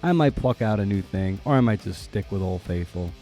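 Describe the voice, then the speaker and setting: Mellow, lowish man hosting. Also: lively intonation, soft background music. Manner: halting, searching for words. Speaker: podcast host. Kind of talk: casual conversational interviewing.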